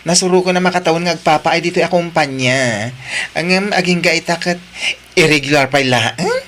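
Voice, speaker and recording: Man acting a chatty, expressive Ilocano office friend. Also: on the high side, normal speed, slight background noise, natural voice. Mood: curious. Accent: Filipino, Ilocano. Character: chatty, nosy, supportive male office friend. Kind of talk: Ilocano audio drama acting.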